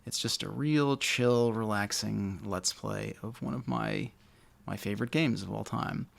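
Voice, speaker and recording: A man with a naturally low voice, casually commentating a video game he loves, a little mumbly and self-deprecating. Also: measured pace, gravelly. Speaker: let's play host.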